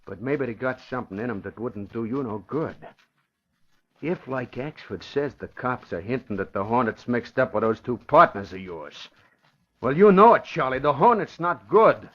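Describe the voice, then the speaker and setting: An actor playing an insistent, nervy gangster's lieutenant in an old radio crime drama, talking in tough underworld slang. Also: male voice, medium pitch, animated, normal speed, close to an everyday voice. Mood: insistent, wary. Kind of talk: 1940s radio crime acting. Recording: dull vintage radio recording.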